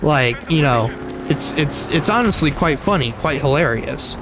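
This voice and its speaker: A man's voice: fairly high pitch, lively intonation, unhurried, noisy background. A young man chatting non-stop while playing a shooter game, rambling, repeating and correcting himself, with a slight frog in his throat. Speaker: gaming streamer. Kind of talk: casual gameplay commentary.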